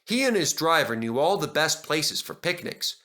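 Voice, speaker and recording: A man reading a children's story aloud in dramatic, expressive scripted narration. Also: fairly high pitch, moderate pace. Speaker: narrator and reader.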